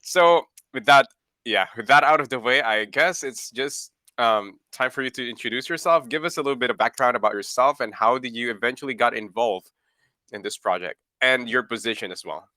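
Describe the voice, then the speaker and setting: A man's voice: medium pitch, fairly quick, bright, forceful tone, no room sound. A man hosting an online AMA, chatty and laughing between questions, a bit under the weather. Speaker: AMA host. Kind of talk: casual interview hosting.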